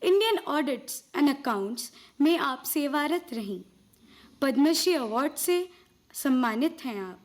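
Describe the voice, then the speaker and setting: Female stage presenter, high pitch, slow formal declamation of a memorised script. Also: lively intonation. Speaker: stage presenter in a school performance. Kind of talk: recited scripted narration.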